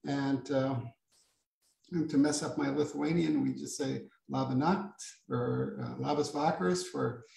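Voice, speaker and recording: Creaky-voiced man hosting, echoey audio. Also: medium pitch, fairly even intonation, a little slow, darkish tone. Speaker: seminar moderator. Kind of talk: informal academic hosting.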